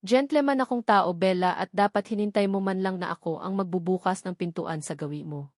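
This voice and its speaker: A woman's voice: mid-range voice, fairly quick, a little mellow, no room sound, clean studio sound, lightly acted. Woman reading as a serious, bossy Filipino fiancé. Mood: scolding. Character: serious, bossy billionaire man, exasperated fiancé. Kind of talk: audiobook character dialogue.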